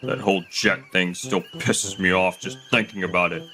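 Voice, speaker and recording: A man's voice: deep voice, steady tone, normal speed, sharp tone. A man playing an arrogant, petulant rich heir in a manga dub, brash and dismissive, with music under the voice. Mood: sulky, smug. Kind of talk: dramatic manga dub voice acting.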